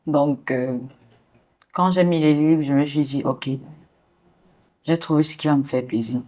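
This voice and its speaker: A woman's voice: low-pitched, measured pace, dark tone. Young woman giving short, reflective answers. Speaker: guest sharing her story.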